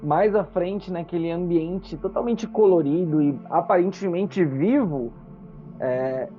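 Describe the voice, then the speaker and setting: A Brazilian game master narrating a tabletop roleplaying game with dramatic, unhurried pauses. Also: medium pitch. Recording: muffled lo-fi audio with music. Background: music.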